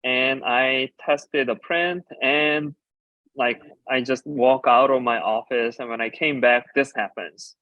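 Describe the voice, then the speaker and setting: A man's voice: mid-range voice, steady tone, no echo. Man, native Korean speaker, conference talk, hesitant with fillers, dry dull lo-fi sound. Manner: halting, with frequent filler pauses while explaining. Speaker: designer and ceramicist giving a talk. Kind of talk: conference presentation about slides.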